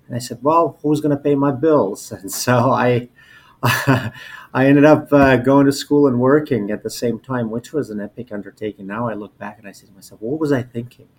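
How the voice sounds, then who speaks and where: A man in his forties from Albania, a native Albanian speaker, telling his personal story as an interview guest in a halting, repetitive way. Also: mid-range voice. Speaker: interview guest, corporate lawyer. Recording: noticeable background noise.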